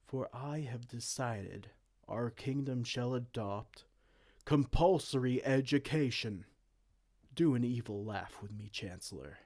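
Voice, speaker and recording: A man's voice: mid-range voice, slow pace, a little mellow. Guy doing a vain evil fantasy king, kinda a dork, takes his time, pompous but goofy, audio roleplay. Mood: chummy. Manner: pompous theatrical menace slipping into casual dorkiness. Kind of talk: hammy comedic fantasy roleplay acting.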